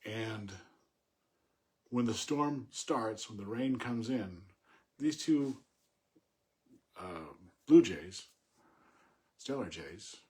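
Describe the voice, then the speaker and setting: A man informally analysing a poem, thinking aloud in a halting, reflective way with pauses between short phrases. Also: on the low side, lively intonation, warm tone. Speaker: poetry commentator.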